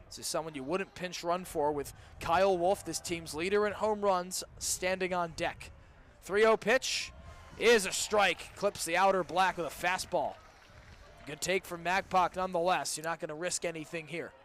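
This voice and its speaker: A man's voice: high-pitched, a bit bright. A young man doing live radio play-by-play of a baseball game from a booth with an open window. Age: young adult. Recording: live outdoor broadcast with background noise.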